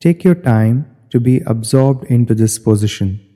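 A man guiding a meditation as an instructor, giving measured step-by-step directions in short phrases. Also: medium pitch, animated, dark tone. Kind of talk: guided meditation narration. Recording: long hall-like reverb.

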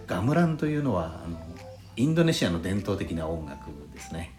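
A man's voice: deep voice, very animated, fairly quick. A male podcast host chatting politely as he reads out listener letters, with formal, courteous phrasing. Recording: roomy echo, faint background music. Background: soft lo-fi flute jazz music.

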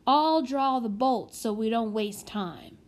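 A woman voicing a lively, excitable, alert young boy in a children's storybook. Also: a little high, slow. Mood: hushed.

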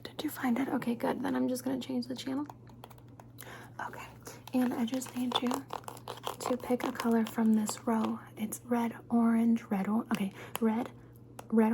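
A woman's voice: on the high side, creaky, background noise. A woman performing an ASMR personal-attention roleplay, soothing and playful, repeating words and short phrases over and over.

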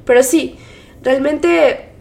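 A woman's voice: high-pitched, takes it slowly, gravelly, darkish tone. A young woman co-hosting a podcast in casual conversation. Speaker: podcast co-host.

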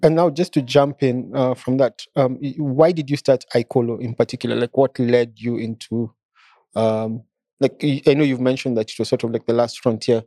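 A man's voice: medium pitch, fairly even intonation, normal speed, dark tone, no echo, clean studio sound. A man hosting a podcast, speaking hesitantly with many fillers. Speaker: podcast host. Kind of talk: casual interview hosting.

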